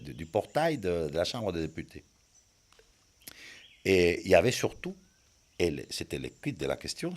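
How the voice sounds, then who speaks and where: Really low voiced guy, not a native speaker, lots of umms and restarts, feeling his way through answers. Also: darkish tone. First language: not French. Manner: hesitant, many fillers and restarts. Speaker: guest, communication expert. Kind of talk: conversational interview answers.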